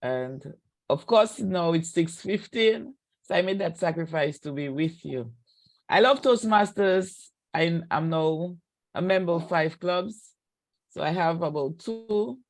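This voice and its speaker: A woman's voice: fairly low pitch, animated, measured pace. A woman from Dominica introducing herself eagerly as a guest on a video call. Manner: enthusiastic, talks about herself. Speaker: meeting guest.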